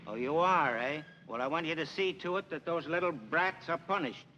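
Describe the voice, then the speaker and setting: Cranky bossy landowner, sharp bright voice jumping all over, old film sound. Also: male voice, a little high, lively intonation, normal speed. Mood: gruff. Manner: barking, bossy, scolding. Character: gruff middle-aged male property owner, irritable. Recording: dull 1940s film soundtrack, faint hiss.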